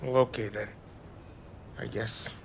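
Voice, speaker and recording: An actor playing a very drunk, childish, sulky American gangster with slurred, rambling speech in a cinematic game. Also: male voice, on the low side, steady tone, takes it slowly, creaky. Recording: loud background noise.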